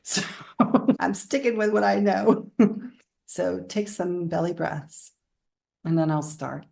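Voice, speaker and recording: A woman's voice: lowish voice, creaky, a little mellow, roomy sound. A woman from the Bahamas speaking as a guest in a spiritual interview, explaining her practice.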